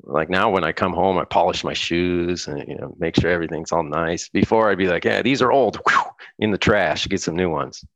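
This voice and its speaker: Casting: middle-aged American man, deep gravelly voice, dry close sound, loose storytelling guest. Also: fairly quick, few pauses, fairly bright tone, no room sound. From United States. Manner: rambling, laughs often, many restarts. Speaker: guest musician. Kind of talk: casual interview chat.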